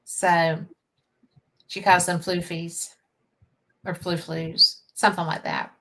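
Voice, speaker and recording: An older Southern woman hosts a relaxed live chat, speaking slowly and unhurriedly. Her voice is bright and forceful, and her talk is folksy, full of homespun sayings and easy laughter. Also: on the low side, creaky. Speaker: host. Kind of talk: casual chat on a live stream.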